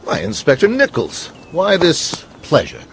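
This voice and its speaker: A man's voice: fairly high pitch, huge pitch swings, measured pace, gravelly, music in the background, exaggerated voice. A man acting a sly, unctuous travelling preacher in a radio drama, oily and theatrical.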